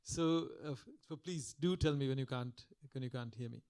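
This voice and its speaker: Soft-spoken older man lecturing. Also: medium pitch, moderate pace. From Calcutta, India. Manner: soft-spoken, reflective, with frequent restarts. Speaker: guest lecturer. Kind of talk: public lecture. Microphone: podium microphone.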